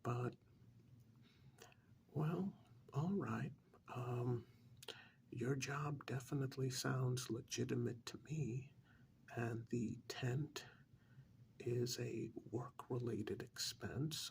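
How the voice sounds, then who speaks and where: A man playing a polite, flustered, earnest tax accountant in a soft-spoken ASMR role-play, hesitant, apologetic and often repeating himself in a whispery voice. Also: medium pitch, steady tone, slow pace, creaky, pauses often, a little hiss or hum. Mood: polite, attentive.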